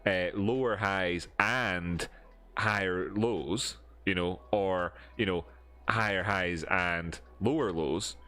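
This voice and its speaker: A man with a broad Edinburgh Scottish accent and a somewhat low voice talks off the cuff to his stream audience. He rambles, restarts sentences and fills pauses with ums, moving between chatty asides and walking through charts. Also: bright, forceful tone. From Scotland. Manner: rambling, many fillers and restarts, answering chat. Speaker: crypto streamer. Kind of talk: casual live-stream chat and chart teaching.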